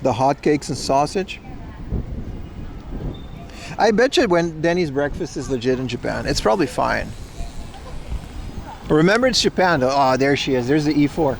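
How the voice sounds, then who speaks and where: A man's voice: on the high side, animated, normal speed, gravelly, pauses often, low-quality recording. A man livestreaming outdoors by a railway platform, chatting casually with viewers and teasing them in mock insults. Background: faint trains and city traffic.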